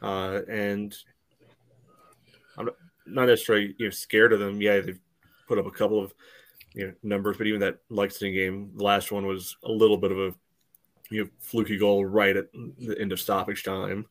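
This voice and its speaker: Man, fairly low voice, podcast co-host, casual sports analysis, halting filler-padded phrasing. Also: flat delivery. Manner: hesitant phrasing, peppered with "you know".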